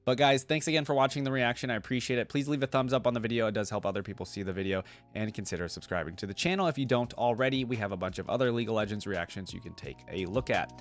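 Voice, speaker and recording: A man's voice: medium pitch, very fast, hardly any pauses, faint music behind. A man giving casual, rambling, unscripted commentary to his viewers as the host of a video game reaction channel. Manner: rambling, conversational.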